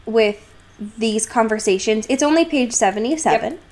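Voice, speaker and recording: A woman's voice: a little high, lively intonation, normal speed, a little mellow. A woman co-hosting a casual book discussion podcast, talking in an irreverent, sarcastic, sweary way. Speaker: podcast co-host.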